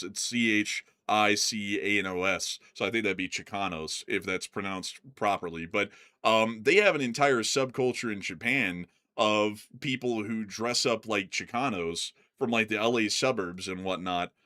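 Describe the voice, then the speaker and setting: A man's voice: lowish voice, moderate pace, few pauses, sharp tone. A man chatting casually as a host, rambling with lots of fillers and laughing easily while he pulls things up on screen. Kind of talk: casual chat. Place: screen-sharing while talking.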